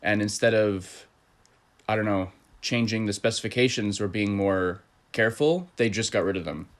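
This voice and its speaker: A man with a fairly low voice hosts a casual online conversation. He talks in a relaxed, easygoing way, with plenty of fillers. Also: normal speed. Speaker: host. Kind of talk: casual chat.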